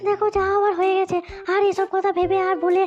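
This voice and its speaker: Woman voicing a very high-pitched placating cartoon village wife. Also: little pitch movement, brisk, darkish tone, muffled recording, faint music behind. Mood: soothing. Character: placating village wife and mother, soothing but quick to complain. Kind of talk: comedic cartoon dubbing.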